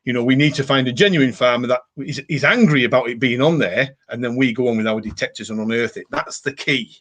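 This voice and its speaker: Cumbrian male host, casual rambling live chat with viewers, average pitch. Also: fairly quick, fairly bright tone. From Cumbria, England. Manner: rambling, chatty, repeats catchphrases. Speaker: livestream host.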